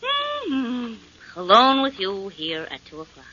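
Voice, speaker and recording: Lively, flirty radio sweetheart. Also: female voice, fairly high pitch, slow pace, background noise, full character voice. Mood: playful. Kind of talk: 1940s radio acting. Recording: dull vintage radio, music behind.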